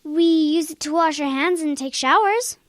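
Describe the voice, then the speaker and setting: A woman's voice: high voice, normal speed, heavy background noise, close to an everyday voice. A woman playing a young schoolgirl in a children's radio drama, helpful, frank and plain-spoken in a childlike way. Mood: helpful, unsure.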